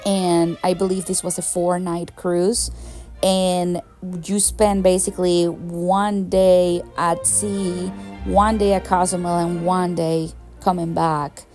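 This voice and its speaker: A woman's voice: fairly low pitch, a little mellow. A young woman from Venezuela narrating her travel vlog in a chatty, enthusiastic way, laughing often. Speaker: travel vlogger. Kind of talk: casual travel vlog voice-over.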